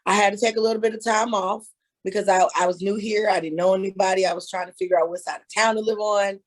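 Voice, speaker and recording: A woman's voice: mid-range voice, fairly even intonation, quick pace. Candid woman telling her story. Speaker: guest, author and hairstylist. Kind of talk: casual interview.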